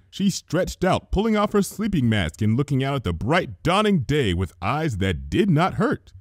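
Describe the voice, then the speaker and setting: A man's voice: mid-range voice, a little mellow, no room sound, studio quality. A man reading fan fiction aloud as a dramatic narrator. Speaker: fanfiction reader. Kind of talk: dramatic scripted story narration.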